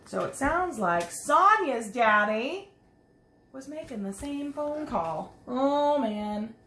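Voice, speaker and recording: A woman reading a picture book aloud to young children, expressive, with chatty asides and simple questions to her listeners. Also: sits high, slow pace. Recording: roomy echo, some background noise.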